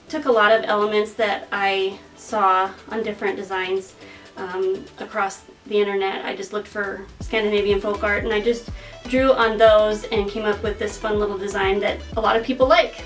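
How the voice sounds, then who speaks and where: A woman from northeastern Montana talking casually as a vlog host about her craft, relaxed and hesitant with many pauses. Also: mid-range voice, far from the mic. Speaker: artist and shop owner.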